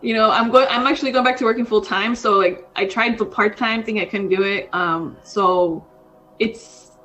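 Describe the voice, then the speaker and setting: A woman's voice: on the high side, moderate pace, a bit bright, soft background music, poor audio. Rambling thirty-something woman, casual chat. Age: 30s. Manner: rambling, frequent fillers, says dude. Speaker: podcast co-host.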